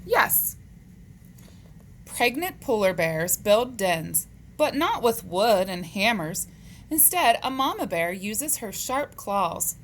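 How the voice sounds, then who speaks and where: A woman reading a children's book aloud, speaking to young listeners in a question-and-answer manner, on a noisy recording. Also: mid-range voice.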